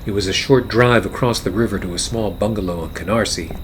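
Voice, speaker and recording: A man playing a wry, hard-boiled private eye and war veteran, narrating a noir radio play in a terse, deadpan way. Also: lowish voice, brisk, a little hiss or hum, lightly acted. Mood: brooding. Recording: music behind the voice. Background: music.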